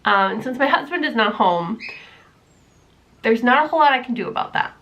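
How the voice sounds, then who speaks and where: A woman's voice: a little high, lively intonation, fairly quick, a bit bright. A young woman vlogger chatting casually from a room at home, rambling with lots of fillers, sounding stuffy and nasal from allergies. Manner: rambling, many fillers, self-deprecating. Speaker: book vlogger. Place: room at home, not yet set up for filming. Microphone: camera mic, camera propped on books.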